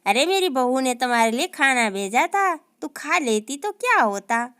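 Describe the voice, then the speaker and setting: A woman voicing a sharp-tongued old aunt who grumbles and scolds, slowly, in a comic sketch. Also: sits high, animated, clean tone, voice pushed down. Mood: grumbling. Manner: complaining, slow and scolding.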